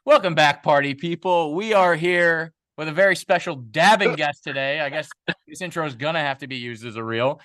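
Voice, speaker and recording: A man's voice: on the high side, fairly quick, soft background music. A man co-hosting a podcast, telling stories in casual chat and acting out what people said. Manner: animated storyteller, acts out quotes. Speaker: podcast co-host.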